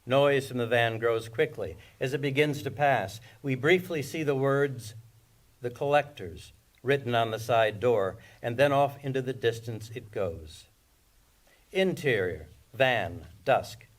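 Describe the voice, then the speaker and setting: Mid-pitched man narrating a screenplay. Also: measured pace. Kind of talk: scripted narration of stage directions at a table read.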